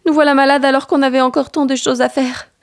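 A woman voicing an excitable, easily alarmed little boy in a storybook, full of exclamations. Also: high-pitched, fast talker, pitched above the performer's own voice. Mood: dismayed. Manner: exclaiming, breathless with amazement and dismay.

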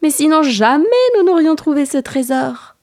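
Woman voicing an excitable little boy, high and exclaiming. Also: moderate pace. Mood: delighted. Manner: exclaiming, breathless with amazement and dismay. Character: excitable little boy, easily alarmed, storybook hero.

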